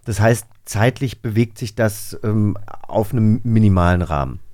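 A man with a somewhat low voice co-hosts a studio podcast chat. He talks loosely and tells stories, with plenty of filler words. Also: moderate pace, darkish tone. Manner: chatty, anecdotal, many fillers. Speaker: podcast co-host. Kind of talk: casual conversational chat. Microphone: studio microphone.

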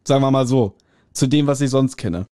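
A man's voice: medium pitch, fairly quick, loud music behind the voice. A man co-hosting a podcast, chatting casually in blunt, slangy language. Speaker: podcast co-host. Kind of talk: casual chat reviewing an audio drama. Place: remote recording at a computer, on video call.

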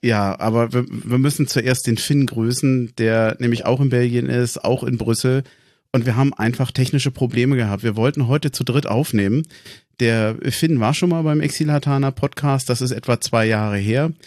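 A man's voice: medium pitch, moderate pace, a little mellow, no room sound. Man, podcast host, casual chat, stumbles over sentence starts, dry close sound. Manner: chatty, repeats words when starting sentences.

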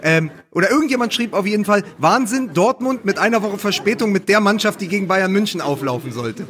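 A man's voice: sits high, lively intonation, a little fast, crowd noise. A man co-hosting a football podcast, talking casually and emphatically, often repeating phrases for stress.

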